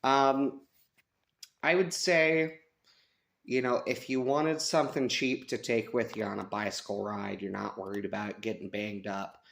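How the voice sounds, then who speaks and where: An American man giving a casual, unscripted review, thinking aloud with hesitant pauses. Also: on the low side, flat delivery, fairly bright tone. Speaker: radio reviewer. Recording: clear radio static and hiss behind. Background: shortwave radio static and stations.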